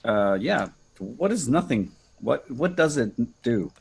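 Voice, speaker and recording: A man with a gravelly, husky voice chatting casually, with wry questions and remarks. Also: medium pitch, sing-song, slow. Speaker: panel participant. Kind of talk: casual chat.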